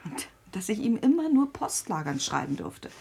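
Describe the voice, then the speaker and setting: Worried, slightly husky sister. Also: female voice, mid-range voice, very animated, moderate pace, a little mellow, heavily acted. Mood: practical, insistent. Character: worried, suspicious, practical sister urging caution. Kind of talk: German radio drama acting.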